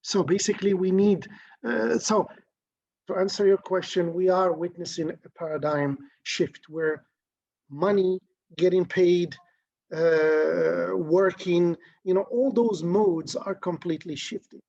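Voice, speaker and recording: A man's voice: high-pitched, a little mellow, no echo. A middle-aged man from Morocco, a guest talking through ideas in a thoughtful, philosophical way with many restarts. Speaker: interview guest. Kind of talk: reflective conversational interview. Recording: dull, dry lo-fi sound.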